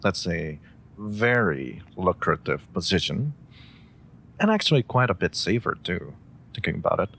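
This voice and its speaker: A man's voice: very animated, normal speed, vocal fry, fairly bright tone, heavily acted. A man playing a polite, theatrical supervillain in a scripted audio roleplay, speaking courteously with hesitant asides and faint menace. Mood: businesslike, confiding. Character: polite, theatrical male supervillain interviewing a job applicant. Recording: faint background ambience and rumble.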